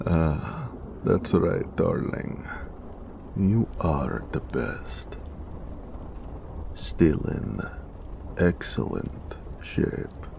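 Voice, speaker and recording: A big orc blacksmith acted by a man speaks in a low, gravelly for a romantic audio roleplay. He teases and dotes on his lover playfully, with very lively swings of pitch. Some ambient noise sits behind the voice. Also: exaggerated voice. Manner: playful, flirtatious, frequent chuckling.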